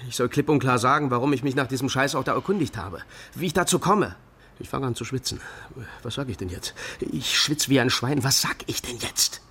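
An actor playing a frightened East German state informant in a radio drama, agitated, stammering and pleading. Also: male voice, medium pitch, animated, a little fast, pushed and bright, breathy edge. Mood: panicked.

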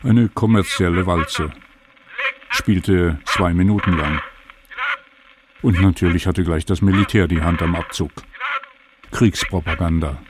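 A man with a very low voice narrates a documentary as its author. His phrasing is measured and clipped into short fragments. The recording has clear noise and clicks. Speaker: radio feature author and narrator. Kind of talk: scripted documentary narration with location conversation.

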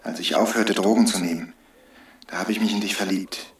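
Actor as a defiant hostage-taker, roomy and distant. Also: male voice, lowish voice, steady tone, fairly quick, natural voice. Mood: tender, confessing. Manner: declamatory, taunting, needling. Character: defiant drug-free hostage-taker, bitter, taunting, preaching agitator. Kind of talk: radio drama acting.

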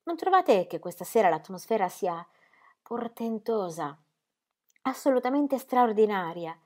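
A woman voicing a dreamy, rapturous young woman who gushes breathlessly in a high voice. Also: big pitch swings, measured pace, mellow. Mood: enthusiastic.

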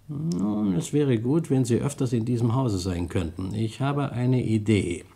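An actor playing a courteous, slyly ironic police detective in a German radio crime drama, speaking in a measured way. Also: male voice, medium pitch, expressive, gravelly, slightly rough, exaggerated voice. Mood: persuasive, genial. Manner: polite, measured, gently ironic.

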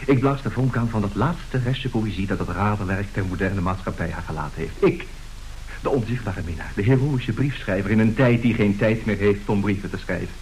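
An actor playing a formal, excitable, grandiloquent gentleman given to florid speech in a radio play. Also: on the low side, fairly quick, lightly acted, rough recording. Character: flustered, grandiloquent gentleman dealer in love letters. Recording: hissy old radio drama recording.

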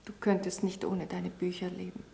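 A woman's voice: lowish voice, completely flat delivery, moderate pace, vocal fry, mellow, slight background noise. An actress playing a worried, reproachful young woman in a radio drama. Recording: echoing room.

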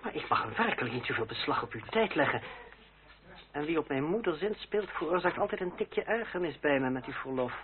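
A man acting a young revolutionary deputy and swordsman in an old radio drama. He speaks fairly high, with icy, ironic courtesy. The sound is tinny and narrow, with a crowd murmuring behind him. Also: animated, brisk, natural voice. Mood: cool, polite. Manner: formal, coolly mocking, ironic politeness. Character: sardonic young revolutionary deputy and deadly fencing master. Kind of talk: period radio play acting.

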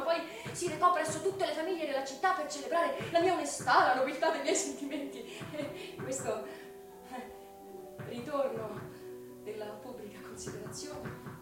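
Woman acting anguished devoted young sister, echoing high voice. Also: lightly acted. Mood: excited, relieved. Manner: halting, repeats words, breathy emotional outbursts. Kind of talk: theatrical radio drama monologue. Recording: echoey, distant, music behind.

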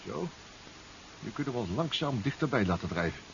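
An actor playing a spirited, proud professor and inventor in a 1970s radio drama, excitable and emphatic, sometimes halting. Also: male voice, medium pitch, creaky. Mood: game, resigned. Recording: dull, faintly noisy radio play recording.

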